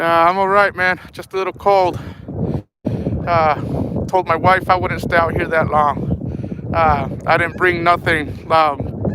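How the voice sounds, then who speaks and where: A young man with a sore throat and a voice that is going, hosting a casual fishing livestream outdoors by a frozen lake, rambling easily and calling everyone "man". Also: sits high. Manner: rambling, easygoing, says "man" a lot. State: losing his voice, sore throat. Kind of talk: casual live-stream chat reading out viewer names. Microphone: phone held at arm's length. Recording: loud wind noise outdoors. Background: wind and honking geese.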